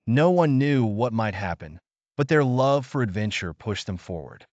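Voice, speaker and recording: A man's voice: mid-range voice, very animated, moderate pace, a little mellow, dry recording, muffled recording. A man narrating a scripted bedtime story for children.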